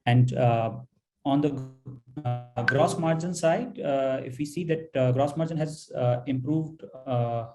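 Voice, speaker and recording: A man with an Indian accent presenting financial results as a company executive on an earnings call, halting and hesitant, with frequent pauses and repeated words. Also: mid-range voice, over music.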